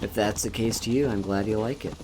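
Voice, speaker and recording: A man streaming a video game, chatting casually in a relaxed, soft-spoken and musing way as he plays. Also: lowish voice, brisk, very noisy. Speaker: VTuber streamer. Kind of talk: casual gameplay commentary. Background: game music.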